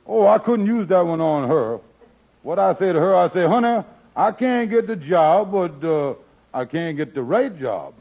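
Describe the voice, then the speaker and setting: An actor playing an excitable, work-shy married schemer who blusters and mangles big words in an old radio sitcom. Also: male voice, high-pitched, moderate pace, heavily acted. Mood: self-justifying. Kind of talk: 1940s radio sitcom acting. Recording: muffled old radio broadcast.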